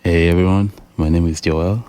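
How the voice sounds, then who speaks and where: A young adult man from Tanzania, a drummer and bassist, introducing himself in a friendly, relaxed way. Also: normal speed, smooth. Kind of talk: casual interview chat.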